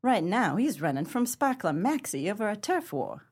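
A woman playing a tough, proud 1930s gang leader in a pulp crime radio play, with brassy, slangy gangster talk and a husky voice. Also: medium pitch, sing-song, moderate pace. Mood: bossy, scheming.

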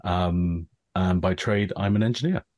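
A middle-aged man with an English accent, a guest calmly explaining gear with frequent fillers. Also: deep voice, a little slow, no room sound. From northern England. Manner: relaxed, explanatory, with many fillers. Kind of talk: casual explaining.

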